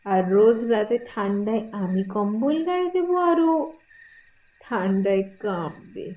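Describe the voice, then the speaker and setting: A woman's voice: medium pitch, sing-song, unhurried, muffled recording. A woman voicing a sly, bossy girl, the elder sister in a cartoon, with a coaxing, theatrical manner. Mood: gloating. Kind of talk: cartoon voice acting in a moral tale.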